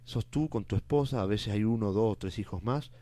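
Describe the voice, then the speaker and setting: A man's voice: fairly low pitch, normal speed, mellow, dry recording. A man hosting a talk show, asking questions conversationally and filling in with short acknowledgments. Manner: conversational, with many short backchannels. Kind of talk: casual interview talk.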